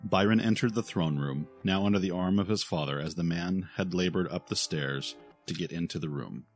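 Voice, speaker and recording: A man narrating a scripted fantasy audio drama in a solemn, deliberate way. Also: deep voice. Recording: faint music behind the voice.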